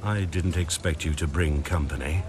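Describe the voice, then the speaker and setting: An actor playing a smug, treacherous middle-aged merchant in a video game, speaking with pompous mock courtesy. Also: male voice, extremely low pitch, normal speed, crowd noise. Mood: oily politeness. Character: smug, oily, treacherous male grain merchant.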